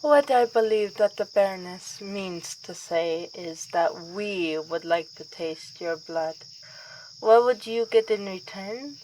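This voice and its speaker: Woman acting a regal, playful vampire princess, unhurried. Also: medium pitch, a little mellow, a little hiss or hum, close to an everyday voice, poor audio. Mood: teasing menace. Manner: theatrical, formal, courtly phrasing. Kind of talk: ASMR roleplay acting.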